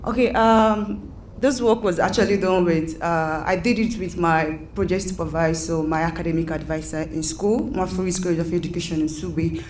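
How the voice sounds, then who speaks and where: A woman's voice: on the low side, fairly even intonation, normal speed, a little mellow, a little hiss or hum. A woman from Nigeria with a Nigerian accent, giving an academic conference presentation with many fillers and self-corrections.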